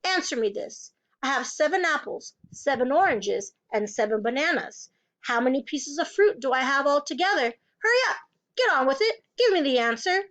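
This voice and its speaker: A woman's voice: high voice, animated, normal speed, fairly bright tone. A woman voicing a huge, tyrannical headmistress with an explosive temper, barking in an overbearing way. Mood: snapping impatience.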